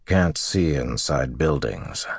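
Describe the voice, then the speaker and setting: A man as a deep-voiced, stoic veteran commander. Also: animated, takes it slowly, creaky, husky, no room sound, clean studio sound, exaggerated voice. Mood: matter-of-fact. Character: resolute, stoic veteran army commander, male. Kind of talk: audiobook character dialogue.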